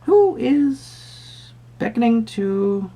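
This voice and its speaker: Casting: man to play a mysterious, well-mannered male tarot reader for a soft roleplay, dark husky tone, theatrical and rambling. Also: very high-pitched, big pitch swings, extremely slow, full character voice. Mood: pensive, mildly fussy. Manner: theatrical, polite, rambling, murmuring asides. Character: eccentric, courtly, musing male tarot card reader. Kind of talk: soft-spoken tarot reading roleplay to the viewer.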